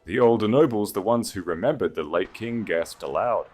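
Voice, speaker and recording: A man's voice: fairly low pitch, expressive, moderate pace, darkish tone, soft background music. A man reading a scripted romantic fantasy tale as a dramatic audiobook narrator.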